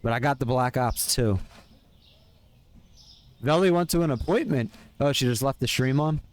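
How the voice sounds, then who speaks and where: A man's voice: mid-range voice, moderate pace, slight background noise. A young man from Pennsylvania with a Philadelphia accent, chatting casually and rambling to his stream viewers. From Pennsylvania, USA. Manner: rambling, chatty, friendly, lots of fillers.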